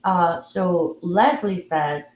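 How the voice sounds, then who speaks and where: A woman hosting a casual live audio room, talking in a relaxed, musing way with frequent fillers. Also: on the low side, drawn-out words, roomy sound, slight background noise, low-quality recording. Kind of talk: casual live-stream chat.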